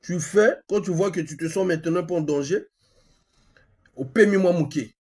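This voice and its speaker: A man from Congo with a Congolese accent and a husky voice, speaking insistently as a live-stream host, repeating phrases and asking rhetorical questions. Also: high-pitched, measured pace, a little mellow. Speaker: online activist host. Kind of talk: live-stream monologue in colloquial speech, with a phone call.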